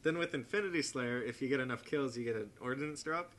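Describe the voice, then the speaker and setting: A man's voice: medium pitch, fast talker, a bit bright. A young man chatting casually and enthusiastically about video games as a podcast co-host, with firm opinions. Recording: amateur podcast, clear background noise.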